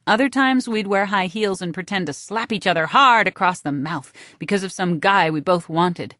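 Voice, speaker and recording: A woman's voice: on the low side, normal speed, fairly bright tone, dry recording, clean studio sound. A woman reading a first-person novel as an audiobook narrator, in a dramatic scripted style.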